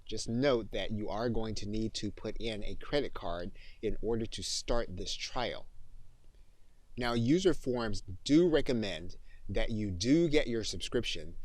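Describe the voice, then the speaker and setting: A man narrating a software tutorial as an instructor, in a methodical step-by-step way. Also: fairly low pitch, no echo.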